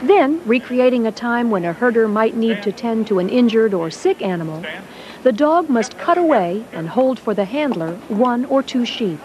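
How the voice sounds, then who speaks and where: A woman reading scripted documentary narration in a measured, even way. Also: a little high, a crowd in the background, poor audio.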